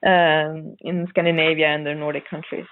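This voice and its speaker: A woman speaking as a podcast guest co-host, chatting casually about horror films in hesitant, halting phrases. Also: deep voice, little pitch movement. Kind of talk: casual horror film chat. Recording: narrow phone-like call audio, dry.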